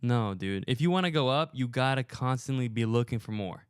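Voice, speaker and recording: A man's voice: mid-range voice, animated, brisk, smooth, dry recording. A young man hosting a casual podcast in a recording studio, talking loosely with lots of fillers and slang into a headset mic. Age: young adult. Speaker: podcast host.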